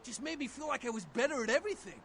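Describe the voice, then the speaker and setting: An actor playing a starstruck young vampire's servant in a video game, gushing and rambling in slangy American English. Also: male voice, very high voice, sing-song, racing, a bit bright, a little hiss or hum, exaggerated voice. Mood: awed, gushing. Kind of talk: video game dialogue acting.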